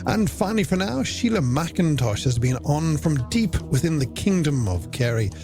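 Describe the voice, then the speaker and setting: Animated Irish male late-night radio host. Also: on the high side, moderate pace. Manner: theatrical, flowery phrasing with rhetorical questions. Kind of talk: polished late-night music radio presenting. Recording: music bed under the voice. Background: music.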